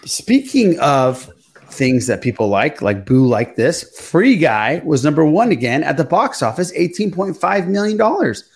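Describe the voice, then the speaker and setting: A man's voice: a little high, big pitch swings, a little slow. A man hosting a pop culture podcast, chatting informally and swearing now and then. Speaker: podcast host.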